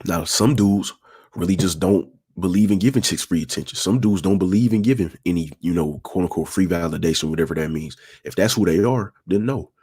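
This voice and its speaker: A young man with a raspy voice, talking in a laid-back, smooth way and repeating himself as he hosts a casual livestream. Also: sits low, fairly quick, a little mellow. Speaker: livestream host.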